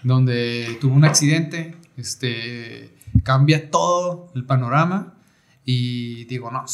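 A young adult man from Baja California, a podcast guest telling his life story in relaxed conversation full of slang and fillers. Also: mid-range voice, takes it slowly, slight background noise. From Mexico (Baja California). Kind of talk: casual conversation.

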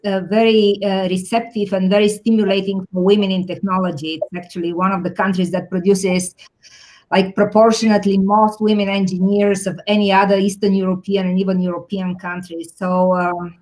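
A woman's voice: medium pitch, a little mellow, low-quality recording. Bulgarian-born woman, thoughtful guest. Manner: thoughtful, many fillers and restarts. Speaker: guest, tech executive. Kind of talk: interview answers.

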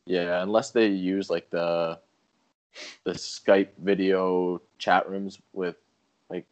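Deep-voiced Canadian-raised man, guest on a video call; easygoing storyteller who laughs a lot. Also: slow pace. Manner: relaxed storytelling, laughs often. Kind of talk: casual chat. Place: home in rural Japan.

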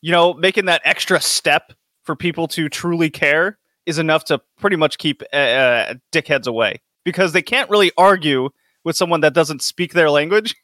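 A man talks loosely as a podcast host, quick and rambling, often breaking into laughter. His voice is bright and a touch high. The sound is close and dry. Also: no room sound. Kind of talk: casual chat.

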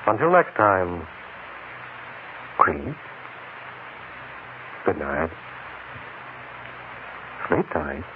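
An actor playing a sly, ghoulish mystery-show host, announcing in 1940s radio style. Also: male voice, sits low, sing-song, drawn-out words, long pauses, exaggerated voice. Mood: sly, creepy. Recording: tinny, hissy old radio broadcast.

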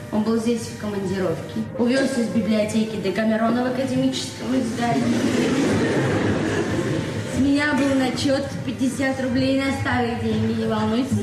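Actress playing shy middle-aged librarian, childlike candour, higher female voice, distant over music in vintage radio drama. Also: close to an everyday voice. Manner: childlike, earnest, hesitant, questioning. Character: naive, candid, shy librarian woman in her forties. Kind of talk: 1970s Soviet radio play acting. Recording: echoey distant 1970s stage-like recording, music behind.

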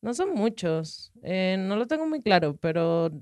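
A woman's voice: mid-range voice, a little slow, no echo. A woman in her thirties with a Venezuelan accent, a podcast guest chatting casually and telling long, rambling stories with easy laughs. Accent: Venezuelan Spanish. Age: 30s. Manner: relaxed, rambling storyteller who laughs easily. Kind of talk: casual conversational interview.

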